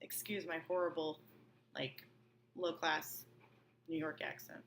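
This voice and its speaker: A woman's voice: deep voice, level tone, slow, a bit bright. A woman reading a novel aloud as a teacher, expressively, breaking off for informal explanatory asides. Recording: clear background room noise.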